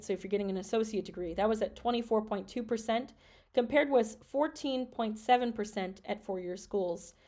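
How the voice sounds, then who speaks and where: A woman's voice: a little high, a little mellow. A woman from Michigan hosting a podcast, earnestly talking through concerns about education and reading out statistics. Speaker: podcast host and French teacher. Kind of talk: solo podcast commentary.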